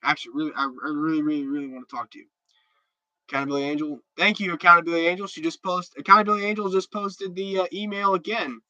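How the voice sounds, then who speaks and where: A man's voice: a little high, fairly even intonation, very fast, sharp tone, dry recording, lo-fi sound. A stuffed-up man hosting a casual livestream, chatting loosely and answering viewer comments with many restarts. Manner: rambling and chatty, with repeated restarts. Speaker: livestream host.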